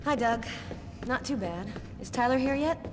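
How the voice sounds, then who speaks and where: An actress playing a weary, driven police detective in a video game, in an echoey recording over loud music. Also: female voice, high-pitched, animated, moderate pace, noisy background. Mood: friendly.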